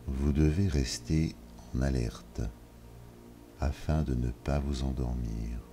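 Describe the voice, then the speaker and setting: Very deep, slow-spoken man guiding relaxation. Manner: calm, measured instructions with long pauses. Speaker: yoga nidra guide. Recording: soft music behind. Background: soft ambient music.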